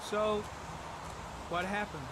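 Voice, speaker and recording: An actor playing a brisk, formal young FBI agent in a video game, clipped and polite. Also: male voice, high-pitched, fairly even intonation, heavy background noise. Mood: businesslike.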